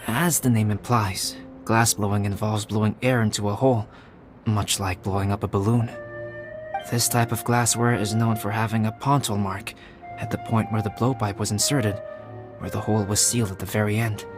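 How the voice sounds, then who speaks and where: Game role: gentle, scholarly young alchemist voiced by a man, soft-spoken, measured explanations, clear music bed behind. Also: on the low side, fairly even intonation, lightly acted. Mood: explaining. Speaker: game character. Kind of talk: video game voice acting.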